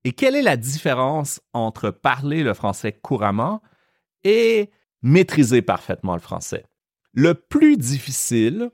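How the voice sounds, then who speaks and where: A man with a Quebec French accent hosting a podcast lesson, explaining slowly and carefully. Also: medium pitch, dry recording, clean studio sound. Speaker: French teacher and podcast host.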